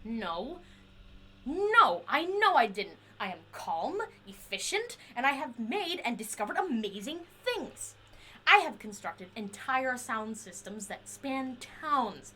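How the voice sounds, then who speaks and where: A woman's voice: fairly high pitch, very animated, slow pace, pushed and bright, husky, exaggerated voice. A woman playing an eccentric, obsessive inventor in an audio drama, proud and volatile, speaking in formal, clinical research notes. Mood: desperate, agitated. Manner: formal clinical diction breaking into pleading outbursts. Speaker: voice actor.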